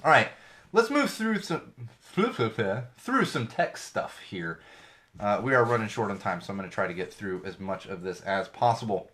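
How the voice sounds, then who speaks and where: A man's voice: on the low side, big pitch swings, moderate pace, fairly bright tone. A man hosting his own podcast, chatting casually and ranting with sarcasm and swearing about tech and social media, his voice slightly off from an ear infection. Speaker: podcast host. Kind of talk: casual solo show talk.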